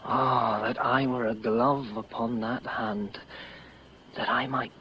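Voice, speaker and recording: An actor playing an ardent, dreamy young nobleman in love, with measured, breathy poetic delivery in 1950s radio style. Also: medium pitch, flat delivery, close to an everyday voice. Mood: hushed longing. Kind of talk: 1950s radio Shakespeare acting. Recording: dull old radio recording, faint noise.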